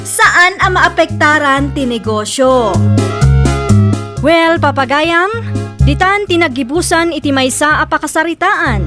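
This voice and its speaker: Woman, radio drama announcer, very high pitch, scripted promotional delivery, drawn-out words, music behind.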